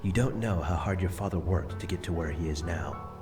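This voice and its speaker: A man voicing the spirit of an elderly grandfather, speaking softly and patiently. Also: deep voice, a little fast, lightly acted. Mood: tender. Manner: soft and tender, explaining patiently. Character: gentle elderly grandfather's spirit, loving and regretful. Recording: music bed under voice.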